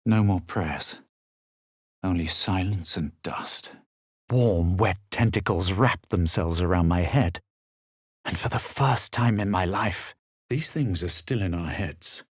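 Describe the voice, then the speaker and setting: A man playing a proud, haughty adventurer in a fantasy video game, speaking in a measured, dramatic, superior way. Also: sits low, husky, no echo, studio quality, exaggerated voice. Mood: brooding. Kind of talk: fantasy video game voice lines, narration and incantations.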